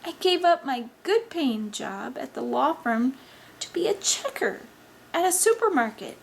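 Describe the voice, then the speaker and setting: A woman's voice: medium pitch, animated, moderate pace, lightly acted. Woman acting a strict tired mom from an audiobook, suspicious and clipped, bit of noise behind. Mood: reproachful, stern. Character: stern, suspicious, weary middle-aged mother.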